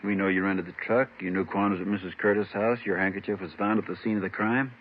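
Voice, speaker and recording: An actor playing a steady, matter-of-fact police detective partner in an old radio crime drama. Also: male voice, lowish voice, quick pace, natural voice. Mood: firm. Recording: tinny, noisy old radio recording.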